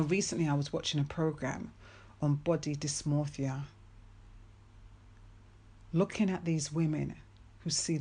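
A woman with a low, deep-set voice delivers a faith-based motivational talk. She speaks slowly and deliberately, repeating her key phrases with earnest emphasis. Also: darkish tone, slightly rough.

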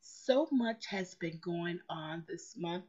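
A hoarse woman hosting a motivational show, speaking in a preachy, emphatic way and repeating phrases for effect. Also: fairly low pitch, lively intonation, slow, a little mellow, muffled recording. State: hoarse, recovering lost voice. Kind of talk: slow motivational talk.

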